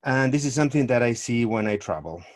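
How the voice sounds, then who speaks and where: A middle-aged man from Argentina, a tango teacher speaking over a video call in a measured, thoughtful way with frequent pauses. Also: mid-range voice, lively intonation. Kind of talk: online summit interview, presenting.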